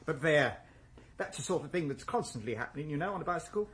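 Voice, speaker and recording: A man's voice: on the high side, animated, fast talker, fairly bright tone, a little hiss or hum. An actor with an English accent playing a whimsical, talkative Edwardian shopman in a radio drama, playfully florid with invented long words. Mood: jovial.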